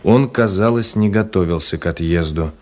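An actor playing a reserved, polite gentleman traveller who narrates and speaks in a literary radio play, measured and reflective. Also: low-pitched, natural voice. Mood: measured narration. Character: reserved, courteous gentleman traveller telling his story. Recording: noisy old radio drama recording.

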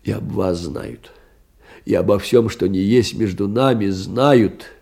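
An actor in an audio drama playing a timid, humiliated poor middle-aged clerk in despair, halting and anguished, with a husky, breathy voice. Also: male voice, fairly low pitch, mellow. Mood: anguished agitation. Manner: halting, anguished, swinging from whisper to outcry. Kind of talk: theatrical audio drama monologue.

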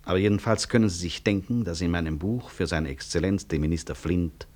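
An actor playing a weary, proud physician-professor in a 1960s German radio drama, husky, reflective and articulate, with wry self-mockery. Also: male voice, low voice, a little mellow, lightly acted. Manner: reflective, articulate, deliberate stage delivery.

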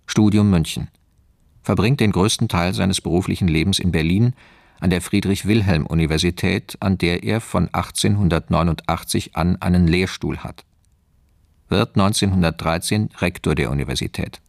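A deep male voice with a gravelly creak, reading out an official file in a radio play. The delivery is formal and factual. The sound is close and dry, with no room on it. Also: no echo. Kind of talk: scripted documentary-like narration in radio drama.

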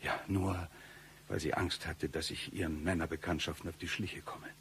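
Deep slow self-pitying shady guy, radio satire, mock tragic, bit of hum. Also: steady tone, fairly bright tone. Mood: aggrieved, lamenting. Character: shady self-pitying man spinning absurd hard-luck stories. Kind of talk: satirical radio play acting.

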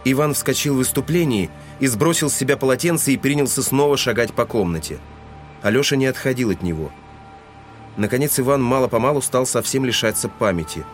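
A man's voice: mid-range voice, expressive, fairly quick. Male narrator over prominent music. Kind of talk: scripted literary narration in audio drama.

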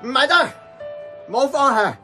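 An actor playing a tipsy, brash young man in a Hong Kong Cantonese radio drama, loud and rambling. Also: very high voice, expressive, measured pace, soft background music, full character voice. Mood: bitter, self-mocking. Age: young adult.

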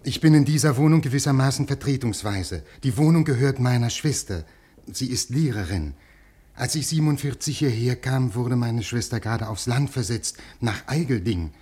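A man's voice: medium pitch, lively intonation, moderate pace, darkish tone, husky. An actor playing an anxious scholarly man who pleads and over-explains earnestly, in a 1950s German radio play. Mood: nervous, earnest. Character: anxious, pleading, scholarly male literary historian.

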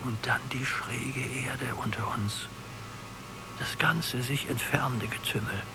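An actor playing an old, nearly blind prisoner in a radio drama, musing in a halting, poetic way. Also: male voice, mid-range voice, steady tone, gravelly, background noise. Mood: brooding, dreamy. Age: older.

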